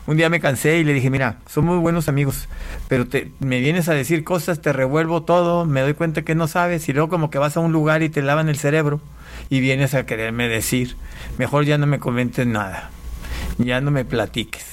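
A man from Panama, an interview guest, giving a personal testimony in a casual, halting way with repeated words. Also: a little high, fairly even intonation.

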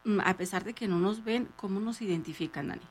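A woman's voice: medium pitch, brisk. A woman with a northern Mexican accent, chatting casually and agreeably as a radio co-host.

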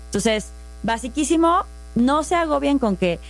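A woman's voice: sits high, expressive, moderate pace. Patient Mexican woman explaining finance. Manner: patient, methodical, explains with worked examples. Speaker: finance guest. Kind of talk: casual explanatory chat.

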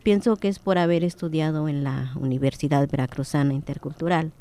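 A woman's voice: sits low, brisk, dark tone. A woman whose first language is Popoluca, a linguist speaking as a guest in a radio interview, hesitant with many fillers.

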